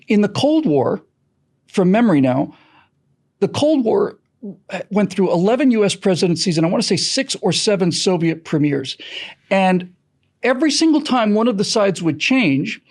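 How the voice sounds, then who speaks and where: A man's voice: sits high, darkish tone. An American man explaining things in an interview in an emphatic, storytelling way. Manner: emphatic, dramatic storytelling with self-corrections. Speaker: podcast creator being interviewed. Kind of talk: animated explanatory interview talk.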